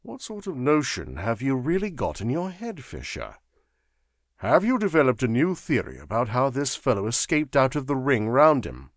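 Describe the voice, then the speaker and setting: A man voicing an impatient gentleman investigator in a storybook, questioning emphatically and in exasperation. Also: medium pitch, very animated, a little fast, few pauses, dry recording, clean studio sound, natural voice. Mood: puzzled.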